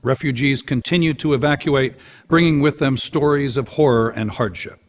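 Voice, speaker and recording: Game newscast role: wartime anchor, male actor, grave and formal, measured scripted read, middle register. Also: mid-range voice, close to an everyday voice. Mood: sober. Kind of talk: scripted news report.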